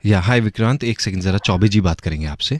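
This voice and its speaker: A man's voice: on the low side, quick pace, a little mellow, a little hiss or hum. A man working as a radio prank host, introducing and making comic calls in a chatty style. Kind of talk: lively prank show presenting and calling.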